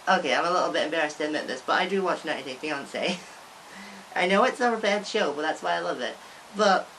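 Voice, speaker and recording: A woman's voice: mid-range voice, a bit bright, slight background noise, low-quality recording. A young woman talking casually to camera as a vlogger, rambling with lots of restarts and frequent giggles. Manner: rambling, many likes and restarts, giggles often.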